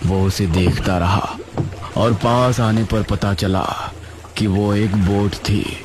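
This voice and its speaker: A man's voice: lowish voice, steady tone, takes it slowly, noisy background. A man narrating a scripted story in a dramatic storytelling style.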